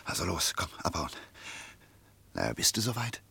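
An actor playing a bossy, nervous and irritable vagabond who bickers and gives orders in a radio play. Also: male voice, sits low, very animated, moderate pace, creaky, pushed and bright, a little hiss or hum, exaggerated voice. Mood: tense, hushed. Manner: bickering, commanding, agitated. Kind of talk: German radio play acting.

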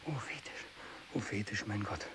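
An actor playing a tormented, fanatical renegade missionary who worships evil, in a feverish radio drama monologue from the 1960s. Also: male voice, moderate pace, close to an everyday voice. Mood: feverish prayer. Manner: feverish, swinging from hushed whispering to shrill ecstatic cries. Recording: hissy 1960s radio recording.

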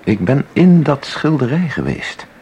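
A man's voice: medium pitch, sing-song, a little slow, mellow, slight background noise. An actor playing an intense, mysterious man in a radio drama, speaking with insistent, theatrical deliberation. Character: intense, mysterious man who claims to enter paintings. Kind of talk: Dutch radio drama acting.

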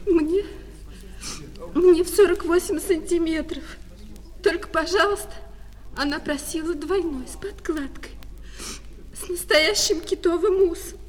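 Female seamstress, radio drama: very high voice, timid, lovelorn, plaintive, reverberant room. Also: a little slow, pauses often. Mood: holding back tears. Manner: hesitant, plaintive. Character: unhappy lovelorn seamstress customer, distraught and timid. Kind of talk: Russian radio play of a classic short story. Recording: long reverb with music behind.

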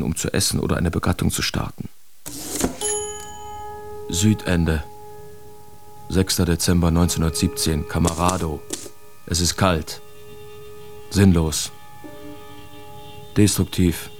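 An actor playing a brash, cynical big-city painter declaiming his feverish letters in a dramatic radio reading. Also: deep voice. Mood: bleak, wry.

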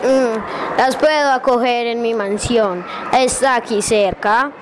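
A woman acts out a vampire host in a homemade radio drama. The voice is on the high side and courteous, offering hospitality with an eerie politeness. There is clear background noise from a live workshop. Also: slow pace. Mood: courteous, inviting. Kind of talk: improvised children's radio drama. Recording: noisy live workshop recording.

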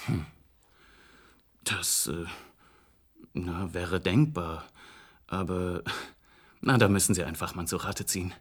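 An actor in a German radio play playing a polite, formal cellist who speaks with hesitant courtesy. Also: male voice, sits low, slow pace, pauses often, husky, full character voice. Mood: hesitant, courteous. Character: polite, hesitant cellist, grieving son, unworldly with technology.

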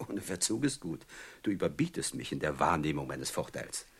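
An actor playing a falsely courteous, menacing robber chief who quotes poetry in an unctuous, theatrically drawn-out way, in a classic German radio drama. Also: male voice, very deep voice, big pitch swings, husky, exaggerated voice. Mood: smugly commanding. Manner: unctuous, mock-polite, theatrically drawn-out phrasing.

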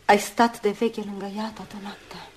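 An actress playing a dutiful, jealous woman in a radio drama, earnest and urgent, often hushed. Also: mid-range voice, little pitch movement, lightly acted. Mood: anxious, insistent. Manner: earnest, urgent, sometimes dropping to hushed tones. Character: dutiful, jealous woman nursing a rival, intense and wounded. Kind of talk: Romanian radio drama acting. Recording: noisy old radio drama recording.

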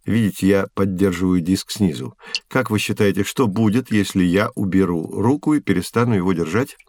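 A man playing a patient science teacher who guides children through a scripted educational audio drama with measured, didactic explanations. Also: low-pitched, darkish tone, dry recording. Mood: instructive. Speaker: presenter.

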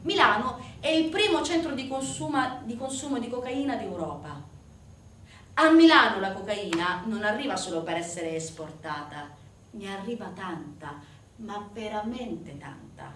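A woman's voice: mid-range voice, expressive, measured pace, fairly bright tone. A woman performing a theatrical narrative monologue to an audience, speaking in an emphatic, declamatory, rhetorical manner. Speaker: stage actress performing a monologue. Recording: roomy, distant mic, faint noise.

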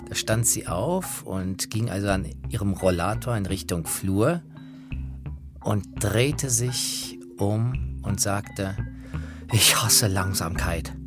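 A man speaking reflectively, with hesitant pauses, as he recalls memories for a radio documentary. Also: lowish voice. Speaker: interviewer recalling people he interviewed. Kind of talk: spoken reminiscence in a radio documentary. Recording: music behind the voice.

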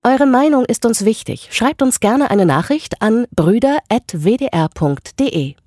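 A woman announcer with a higher, very animated voice reads a scripted promo. The sound is dry and close. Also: normal speed, no echo.

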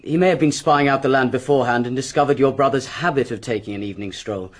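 An actor playing a brisk, self-assured police inspector with a British accent and a clipped, businesslike manner in a radio drama. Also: male voice, medium pitch, natural voice. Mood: brisk, questioning.

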